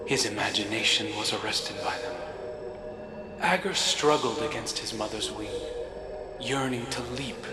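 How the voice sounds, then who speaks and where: Man acting a slow, wistful, brooding prince. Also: medium pitch, close to an everyday voice. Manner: hushed, deliberate, poetic. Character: wistful, brooding immortal prince telling old tales. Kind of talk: storytelling travel log in a video game. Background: loud music.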